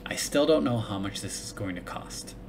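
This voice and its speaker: A man's voice: fairly low pitch, animated, normal speed, gravelly, over music. A man reviewing a crowdfunding page, thinking aloud in a casual way with fillers and restarts; he grew up with both English and Hebrew. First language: English and Hebrew bilingual. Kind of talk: casual solo commentary. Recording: noticeable background noise.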